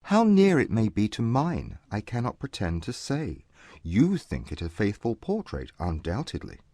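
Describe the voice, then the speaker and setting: Guy acting a proud reserved regency gentleman, grave and formal, very swoopy pitch, audiobook drama. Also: wildly swooping pitch, normal speed, no room sound, exaggerated voice. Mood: cool, reserved.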